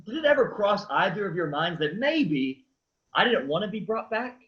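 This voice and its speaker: Man acting sardonic resurrected man, higher-pitched, swinging intonation, deadpan lines, comic stage play, muffled sound. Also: moderate pace. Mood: sardonic, sullen. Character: sarcastic, reluctant man freshly raised from the dead.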